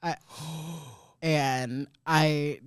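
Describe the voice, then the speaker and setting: A man's voice: on the high side, fairly even intonation, drawn-out words, clean tone, dry recording, clean studio sound. A man co-hosting a podcast, chatting casually and rambling through stories with frequent laughs. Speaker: podcast co-host. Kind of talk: casual comedic chat.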